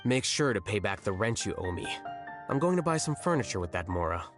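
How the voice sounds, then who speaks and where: A cool, unbothered young scholar, with a lowish voice and dry, cutting delivery. Soft game music sits faintly behind him. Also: male voice, fairly quick. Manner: calm, deadpan, cutting. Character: aloof, dryly sarcastic male scholar. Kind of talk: video game dialogue.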